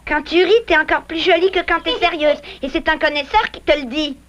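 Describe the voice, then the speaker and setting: A woman's voice: sits high, steady tone, brisk, a bit bright. A woman voicing a cheeky, boastful little boy beaver in a dubbed cartoon, playful and teasing. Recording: old VHS cartoon with music. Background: music.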